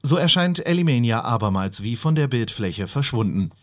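An actor playing an earnest, formal radio newsreader and chart presenter in a parody audio play. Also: male voice, mid-range voice, expressive, normal speed, slight background noise, lightly acted. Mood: mock serious. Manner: formal, announcer-like.

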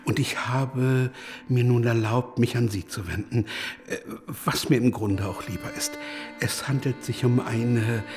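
An actor playing a scheming, falsely deferential creditor in a radio drama, formal and hesitantly insinuating. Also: male voice, mid-range voice, fairly even intonation, a little slow, a little mellow, soft background music, close to an everyday voice. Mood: deferential, furtive.

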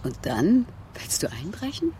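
A woman's voice: fairly low pitch, huge pitch swings, a little slow, background noise. An actress playing a bold, teasing woman accomplice in a radio crime play, speaking assertively. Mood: daring. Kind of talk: German radio drama acting.

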